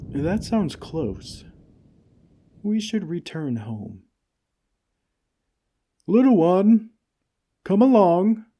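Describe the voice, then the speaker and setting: A man playing a slow, mellow, gentle giant. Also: on the high side, slightly rough, heavily acted. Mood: worried. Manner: tender, old-fashioned, unhurried phrasing. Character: gentle, caring friendly male giant, fussing tenderly over a little friend. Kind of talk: ASMR comfort roleplay.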